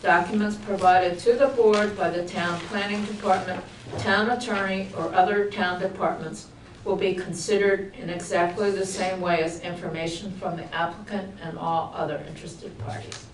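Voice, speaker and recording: A woman chairing a town board meeting, reading out procedure in a formal, measured way with light asides. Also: lowish voice, steady tone. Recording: echoey, distant, noisy public-access broadcast.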